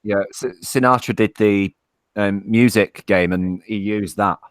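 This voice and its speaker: A man teasing his friends with halting, filler-heavy remarks in casual stream chat. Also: on the low side, fairly even intonation, dry recording, studio quality. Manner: hesitant, teasing, many fillers.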